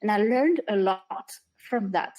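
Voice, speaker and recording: A woman's voice: medium pitch, expressive, a little slow, darkish tone. A woman, a guest chatting in an interview in a hesitant, thoughtful way and laughing often. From France. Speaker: guest illustrator and animator. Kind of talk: casual interview chat.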